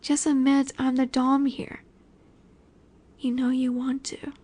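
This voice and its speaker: Woman voicing a female werewolf alpha, high voice, unhurried delivery, flirtatious, dominant, smugly teasing, confident, roleplay audio drama. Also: completely flat delivery, warm tone, husky, heavily acted.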